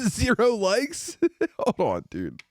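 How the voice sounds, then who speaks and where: A man's voice: sits high, huge pitch swings, slow pace. A man joking in profane slang and reading old posts aloud in a casual way. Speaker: narrator in an audiobook session.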